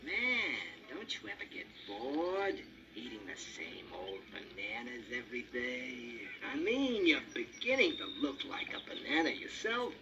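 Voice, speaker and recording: A man's voice: medium pitch, big pitch swings. Man playing a sly storybook crocodile, huckster patter, swooping up and down, dull noisy tape. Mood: coaxing. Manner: fast-talking huckster patter with "hey" and "I mean". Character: scheming male crocodile, wheedling and gruff. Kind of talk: storybook character voice. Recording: dull noisy old recording.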